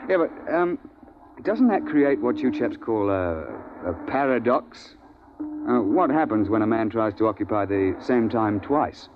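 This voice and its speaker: A man's voice: lowish voice, animated, a little slow, noisy background. A man playing a suave, unflappable British big-game hunter in a 1950s radio drama, speaking in an urbane, understated way. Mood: curious. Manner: urbane, understated, drily unruffled. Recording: muffled old radio broadcast, music behind.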